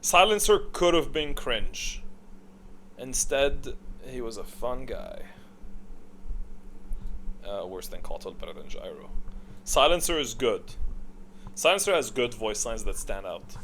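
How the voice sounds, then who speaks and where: Casual man ranking things, very animated delivery. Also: medium pitch, measured pace, pauses often, a little hiss or hum. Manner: informal, thinking aloud. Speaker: gamer video creator. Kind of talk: casual opinionated ranking commentary.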